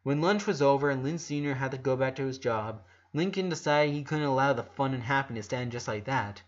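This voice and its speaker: A man reads a story aloud in a mid-pitched voice, as a scripted narration. He trips over a word here and there. The recording is a little dull and lo-fi. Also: a little fast. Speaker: narrator reading a fan fiction story aloud.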